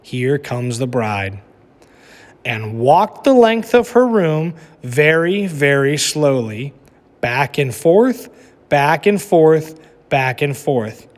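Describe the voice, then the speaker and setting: A male teacher reading a picture book aloud to children. He takes his time and makes his voice rise and fall with lively expression. A long reverb hangs in the room behind him. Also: a little high. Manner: slow, expressive storytelling.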